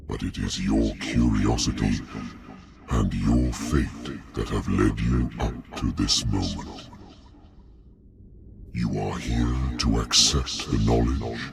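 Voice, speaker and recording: A man voicing an ancient godlike monster in a video game, solemn, grandiose and commanding, with a cavernous gravelly voice. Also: a bass voice, exaggerated voice. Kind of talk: video game villain monologue.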